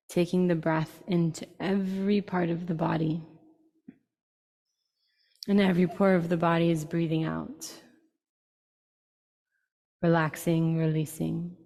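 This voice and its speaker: Low, mellow woman guiding meditation in long reverb. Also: vocal fry. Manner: unhurried instructions in short phrases with long pauses. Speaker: guided meditation teacher. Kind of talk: slow, soothing guided meditation.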